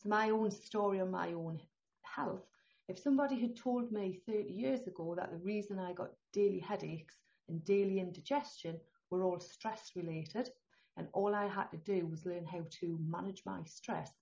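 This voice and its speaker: A middle-aged to older woman with a northeast English accent, a holistic healthcare guest talking in a chatty, animated way and laughing often. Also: mid-range voice, moderate pace, creaky. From northeast England. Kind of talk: conversational interview. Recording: dull lo-fi sound.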